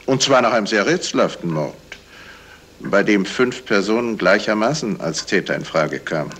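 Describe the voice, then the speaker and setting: An actor playing a polite, shrewd detective in a radio crime play, talking in a measured way with small hesitations. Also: male voice, lowish voice, animated, fairly bright tone, breathy edge, exaggerated voice. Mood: explaining. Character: courteous, shrewd male detective explaining a murder. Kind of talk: 1970s German radio crime play acting. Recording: noisy vintage radio play recording.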